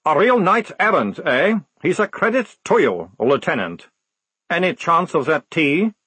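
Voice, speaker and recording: A male narrator acts a captured army sergeant in a storybook, relaxed and mocking. He drawls with amused sarcasm. The voice is slightly rough and very expressive. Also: a little high, a little slow, dry recording, pitched above the performer's own voice, heavily acted. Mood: sarcastic. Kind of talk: audiobook character acting.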